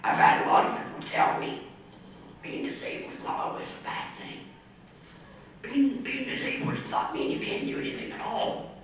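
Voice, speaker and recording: Man in his thirties speaking in a raspy near-whisper, slow and methodical, bright edge, faint noise under it. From Ohio, US. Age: 30s. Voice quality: raspy, mostly unvoiced whispery voice from vocal cord damage. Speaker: award speaker, ordained minister. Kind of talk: reading a prepared personal speech. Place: community center hall. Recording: echoey hall from far off, faint noise.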